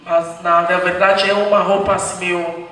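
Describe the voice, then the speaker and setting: A man hosting a post-screening discussion, explaining things at an unhurried pace and pausing to gather his thoughts. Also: high-pitched, little pitch movement, bright, forceful tone. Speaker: panel host. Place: screening room, large hall. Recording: echoey hall, distant, faint noise.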